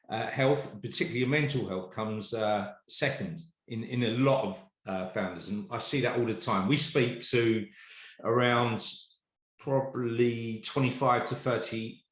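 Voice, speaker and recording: A man hosts a webinar over a video call and speaks hesitantly, with many fillers and repeats. The call carries a roomy echo around his mid-pitched voice. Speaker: host, business community founder. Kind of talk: webinar introduction.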